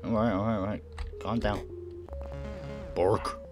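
A young man with a low-pitched voice plays through a game on mic. He reads the on-screen text aloud in a casual way and drops in his own offhand reactions. Soft game music runs behind him. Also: little pitch movement, a little fast. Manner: stumbles over words while reading. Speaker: gaming video host. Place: small room at home, indoors.

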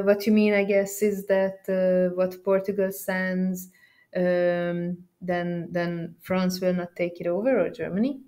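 A woman presenting as a software trainer, explaining a demo conversationally and repeating words as she searches for phrasing. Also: medium pitch.

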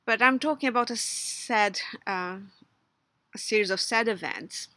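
A middle-aged woman with a Brazilian Portuguese accent speaks a memorial tribute, part of it read, full of fillers and restarts. Her voice is bright and clear. She sits outdoors in a garden, close to a webcam, and the sound is dry. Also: on the high side, unhurried, dry recording. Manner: hesitant, many fillers and restarts. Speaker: logician giving a recorded tribute. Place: outdoors under a patio umbrella in a garden.

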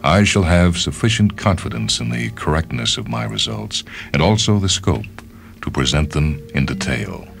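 Very deep, gravelly man narrating over music. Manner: measured, deliberate, phrase by phrase. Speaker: story reader, narrator. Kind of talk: scripted literary narration.

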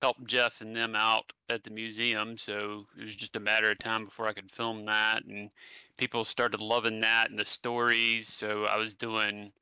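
Bright-voiced West Virginian man, halting. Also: on the low side, monotone, clean tone, no echo, rough recording. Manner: halting, many fillers and restarts. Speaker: guest, paranormal channel creator. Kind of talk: casual conversational interview. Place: home room, indoors. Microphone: headset mic on a video call.

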